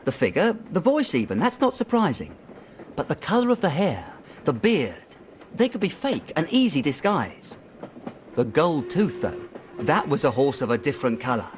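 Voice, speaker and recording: A man's voice: on the high side, very animated, normal speed, slight background noise. An actor playing an earnest, uneasy young male clerk in a period radio drama, eagerly and deferentially telling his story. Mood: puzzled, intent.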